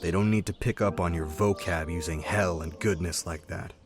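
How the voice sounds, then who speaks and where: A man's voice: sits low, steady tone, normal speed, faint music behind. A man voicing an easygoing young male rat drifter who talks in a laid-back, friendly, chatty way in a fan comic dub. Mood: persuasive.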